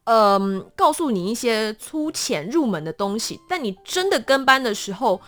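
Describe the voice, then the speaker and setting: A woman's voice: a little high, normal speed. A woman with a Taiwanese accent chatting casually and expressively as an interview guest. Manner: animated, expressive storytelling.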